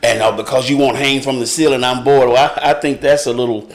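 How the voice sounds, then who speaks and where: A man hosting a casual live discussion, speaking haltingly as he searches for his words. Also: medium pitch, steady tone.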